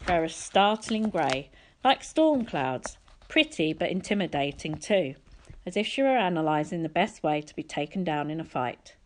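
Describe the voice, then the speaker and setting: A woman's voice: sits low, animated, normal speed. A woman narrating a first-person story from a book as an audiobook reader.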